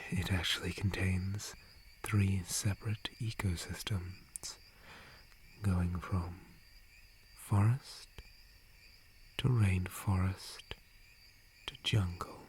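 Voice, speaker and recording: Man playing a slow, low, whispering gentle dryad. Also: slight background noise. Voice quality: breathy whisper. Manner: slow, soothing, unhurried. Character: gentle, calm male dryad forest guardian, friendly listener. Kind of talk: ASMR roleplay.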